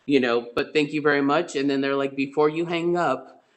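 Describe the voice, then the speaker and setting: A man's voice: on the high side, steady tone, normal speed. Man telling sales stories, many fillers. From Spain. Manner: rambling, many fillers and restarts. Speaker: real estate agent. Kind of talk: webinar guest storytelling.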